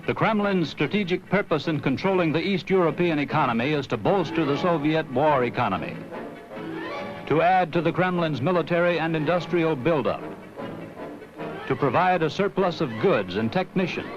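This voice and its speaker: An American man reading a scripted 1960s promotional film narration in a grave, emphatic, measured announcer's delivery. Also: a little high. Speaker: documentary narrator. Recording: dull vintage film soundtrack with music.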